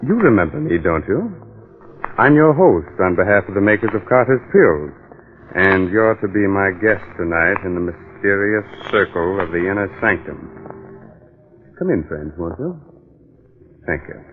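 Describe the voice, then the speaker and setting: An actor playing a sinister, teasing host of a spooky mystery show in 1940s radio style, with theatrical, eerie relish. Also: male voice, low voice, expressive, measured pace, pauses often. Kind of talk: 1940s radio horror narration. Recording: muffled old-time radio recording with music.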